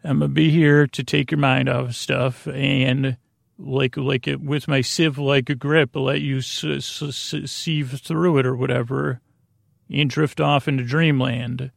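A man in his forties hosting a sleep podcast, rambling casually, halting, repeating himself and trailing off mid-thought. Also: mid-range voice, level tone, vocal fry, dry recording, studio quality. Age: 40s. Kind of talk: rambling casual bedtime monologue.

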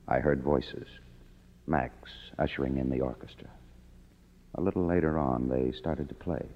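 A man's voice: extremely low pitch, dark tone, heavily acted. An actor playing a world-weary, cynical man, wry and hardboiled, in a 1950s radio drama. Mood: rueful. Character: cynical, world-weary kept man narrating his story. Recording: muffled old radio recording, music behind.

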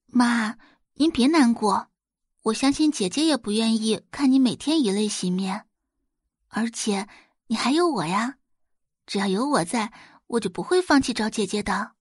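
A woman's voice: a little high, animated, slightly rough, no echo, clean studio sound. A woman voicing a sweet, spoiled young girl who wheedles cutely in an audio drama. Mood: gentle, consoling. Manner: cutesy wheedling with drawn-out words. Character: sweet, clingy, spoiled teenage younger sister, coquettish and pleading. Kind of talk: Chinese audio drama acting.

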